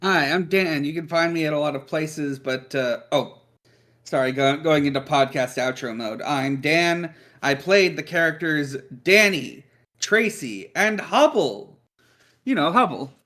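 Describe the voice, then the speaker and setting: A man's voice: fairly high pitch, animated, unhurried, a bit bright. A man, a podcaster and performer, giving casual outro plugs.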